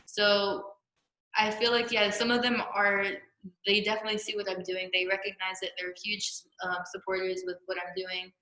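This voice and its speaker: A young woman with a bright, clear-cut voice gives a casual interview. She is upbeat and informal, and the roomy space puts an echo on her speech. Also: medium pitch, flat delivery, normal speed. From Jordan. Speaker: podcast guest. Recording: roomy, echoey podcast room.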